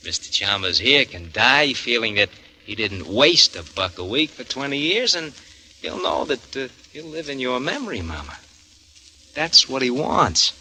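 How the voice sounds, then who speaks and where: An actor playing a scheming grown son in a 1940s radio drama, wheedling and slangy, tagging lines with huh and no. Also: male voice, mid-range voice, big pitch swings, unhurried, pushed and bright, heavily acted. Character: slick, scheming, pushy grown son, small-time hustler. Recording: noisy old radio broadcast recording.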